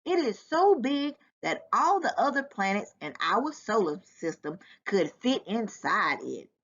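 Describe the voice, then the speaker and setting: A woman reading a nonfiction book aloud to children in a deliberate, instructive way. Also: mid-range voice, big pitch swings, fairly bright tone. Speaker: volunteer children's book reader. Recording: dull, lo-fi sound.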